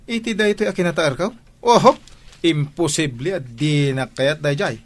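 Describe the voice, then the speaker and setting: A man's voice: fairly high pitch, normal speed, lightly acted. A man with an Ilocano accent playing a boastful young village suitor in a radio comedy drama, teasing and chuckling.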